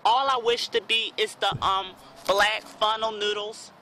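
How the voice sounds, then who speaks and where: Very bright high-voiced pleading man, outdoors. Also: fairly even intonation. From United States. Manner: earnest, halting, repetitive. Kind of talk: pleading amateur vlog. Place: outdoors in a park. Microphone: phone at arm's length. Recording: outdoor phone video, faint background noise.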